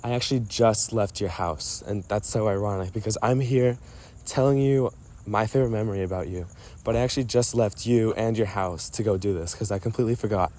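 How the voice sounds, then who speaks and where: A man's voice: fairly low pitch, a little fast, a little mellow. A man speaking casually to a friend in a personal voice memo, playful and self-mocking, often interrupting himself and drifting off his thread. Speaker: storyteller recording a personal voice memo. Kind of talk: rambling casual monologue addressed to a friend.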